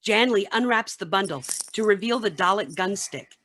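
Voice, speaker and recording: A woman's voice: medium pitch, normal speed, a bit bright. A woman reading scripted narration for a radio play, with some background noise.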